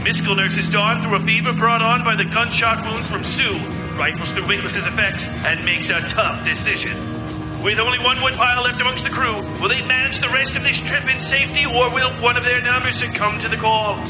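Lady doing a dramatic next-episode teaser for a podcast, echoey, music underneath. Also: on the high side. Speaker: podcast announcer. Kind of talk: scripted dramatic episode preview.

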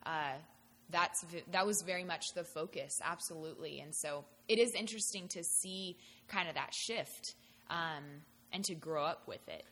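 Woman from thailand, lowish voice, halting and measured, lots of reverb. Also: fairly bright tone. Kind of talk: casual panel discussion.

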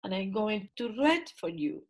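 A woman's voice: mid-range voice, big pitch swings. A woman whose first language is not English, an artist and professor speaking and reading her own poem in an interview. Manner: slow, impassioned, with rising emphasis. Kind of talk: reflective spoken essay and poetry reading.

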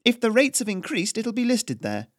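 A man voicing a confident, strident noblewoman who speaks with brisk authority. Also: on the high side, very animated, no room sound, clean studio sound, pitched above the performer's own voice. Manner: strident, forceful and proper.

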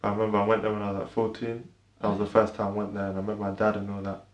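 Young guy on a podcast, low flat voice, hesitant, lots of likes and ums, echoey room. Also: racing, highs cut off. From born in Ireland, raised in the UK. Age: young adult. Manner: hesitant and soft-spoken, many fillers. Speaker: podcast guest. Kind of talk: casual conversation.

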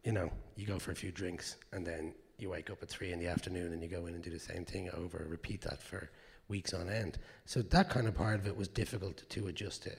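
An Irish man with a low, gravelly, naturally hoarse voice talks through his craft in a loose, rambling way, swearing now and then. His words trail off into a long reverb from the hall around him. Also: steady tone, a little fast, few pauses. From Ireland. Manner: candid, rambling, with fillers and swearing. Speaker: actor guest. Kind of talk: live Q&A answers.

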